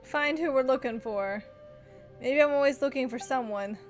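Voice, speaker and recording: High, animated woman game streamer. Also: moderate pace, faint music behind. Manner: excitable, giggly. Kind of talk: reacting casually to gameplay.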